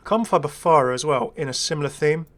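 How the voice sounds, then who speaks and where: A man's voice: a little high, quick pace, fairly bright tone. Chatty, enthusiastic man rambling through game commentary. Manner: chatty, enthusiastic, self-deprecating. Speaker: gaming video creator. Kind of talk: casual rambling first-impressions game commentary.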